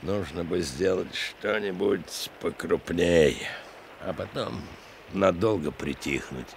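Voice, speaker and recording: An actor playing a gruff, scheming criminal in a Russian radio play, talking in a folksy, slow manner. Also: male voice, sits low, a little hiss or hum, heavily acted.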